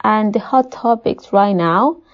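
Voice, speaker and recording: A woman from Peru with a Spanish accent, a poultry nutritionist giving measured, formal, prepared answers as an interview guest. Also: mid-range voice. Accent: Peruvian Spanish. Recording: dull, muffled lo-fi audio.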